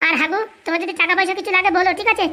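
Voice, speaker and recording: A woman's voice: very high-pitched, fairly even intonation, very fast, pushed and bright. A woman playing a bossy, insistent male fixer who reassures everyone in a comic cartoon. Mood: bossy, reassuring. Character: bossy, brisk male fixer arranging a village wedding.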